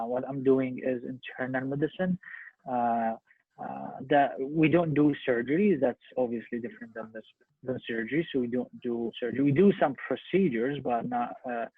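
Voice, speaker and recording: A young man with a Syrian Arabic accent answering interview questions as a physician, with husky, halting speech and repeated words. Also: mid-range voice. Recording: muffled phone-like internet call, echoey room.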